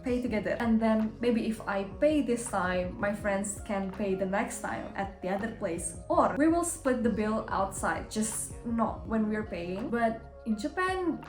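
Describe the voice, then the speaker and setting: A woman's voice: medium pitch, expressive, measured pace, few pauses. Chatty young woman. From Indonesia. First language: Indonesian. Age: 20s. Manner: chatty, often acts out people's voices. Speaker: vlogger. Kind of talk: casual chat to camera. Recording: roomy sound with faint music.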